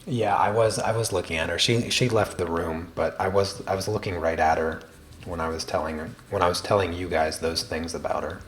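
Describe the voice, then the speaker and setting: A man hosting a drawing livestream, chatting casually with viewers in a very soft, quiet voice and a slow, deliberate cadence full of small restarts. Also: low-pitched, fairly even intonation, faint music behind. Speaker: art livestream host.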